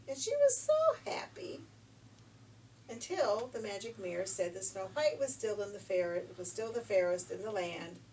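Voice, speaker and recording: A woman's voice: medium pitch, darkish tone. Brief: older female storyteller, folksy and emphatic, informal fairy-tale asides, distant mic with faint noise. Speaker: grandmother storyteller.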